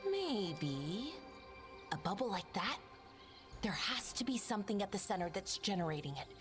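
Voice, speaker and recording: A woman playing an eager, excitable young elf researcher in a video game, slightly scattered and self-correcting. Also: medium pitch, moderate pace, close to an everyday voice. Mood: earnest explaining. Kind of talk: video game character acting. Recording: music behind the voice.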